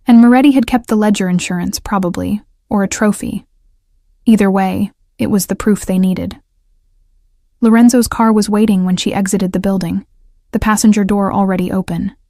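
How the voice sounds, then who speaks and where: A woman narrating a dramatic crime story in an even, measured reading. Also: medium pitch, no echo, studio quality.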